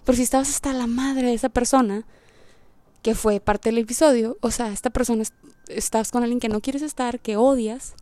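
A woman with a fairly high, soft-edged and mellow voice talks casually as a podcast host. She rambles through her thoughts in slangy, informal speech. The sound is close and dry, with very little room around it. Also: fairly even intonation, brisk, no echo. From Puerto Rico. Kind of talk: casual monologue, reflective chat.

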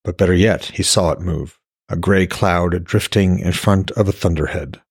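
A man reading scripted fiction as narrator and host, with a husky voice. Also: sits low, steady tone, moderate pace, no echo, studio quality. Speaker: podcast host, author reading his own novel.

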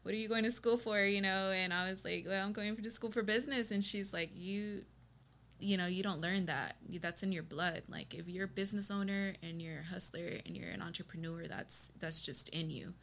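A woman's voice: mid-range voice, fairly even intonation, fairly quick, sharp tone. An American woman from Texas talking casually and earnestly as an interview guest, telling her personal story in informal, emphatic language. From Texas, United States. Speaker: podcast guest, beauty business owner and educator.

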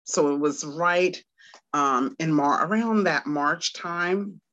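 Woman from washington d.c., unhurried, many pauses, bright tone, dull lo-fi audio. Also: deep voice. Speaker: guest, Montessori teacher. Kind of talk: casual interview answers.

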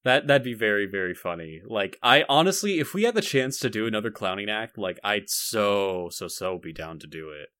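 A young man co-hosting a podcast, reminiscing casually in a halting, rambling way with frequent repeated words. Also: on the low side, expressive, pushed and bright, no echo, studio quality. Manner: halting, repetitive, rambling delivery. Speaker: podcast co-host.

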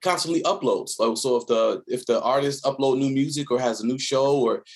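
A man hosting a casual podcast, asking questions in a lively, playful way. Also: mid-range voice, a little fast. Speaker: podcast host.

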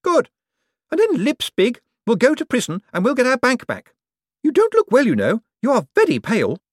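A man voicing a spoiled, haughty young rich woman who talks in a shrill, bossy, dismissive way. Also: huge pitch swings, normal speed, no echo, studio quality, exaggerated voice.

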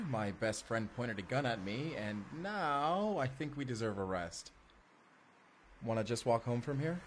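A man's voice: lowish voice, a little hiss or hum, lightly acted. A man playing an affectionate, teasing husband from the future in an intimate audio roleplay. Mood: relieved, casual.

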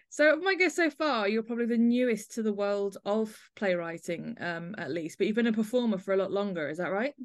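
A woman's voice: medium pitch, normal speed, no echo. Effusive woman hosting an interview, dry close sound. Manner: effusive, complimentary. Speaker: podcast host interviewing a playwright. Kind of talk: conversational interview.

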